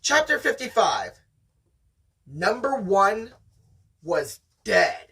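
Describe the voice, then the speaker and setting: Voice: mid-range voice, big pitch swings, very slow, sharp tone, breathy edge, far from the mic. A host reading a novel aloud in an amateur, casual storytime style, chatting along the way.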